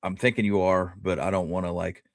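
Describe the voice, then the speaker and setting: A man's voice: low voice, level tone, brisk, no echo, studio quality. A man hosting a casual conversation, speaking informally with loose, conversational phrasing. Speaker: host. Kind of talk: casual interview chat.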